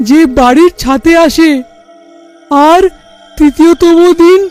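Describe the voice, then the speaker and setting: Man voicing a very high-pitched frightened village husband. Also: darkish tone. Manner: agitated, exclaiming, dramatic. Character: frightened young village husband in a ghost cartoon. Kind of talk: cartoon voice acting.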